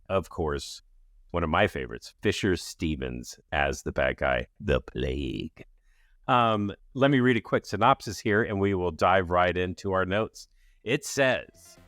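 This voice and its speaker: A man's voice: fairly low pitch, fairly bright tone. A man hosting a podcast, talking in an upbeat, enthusiastic way. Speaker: podcast host. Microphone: studio mic.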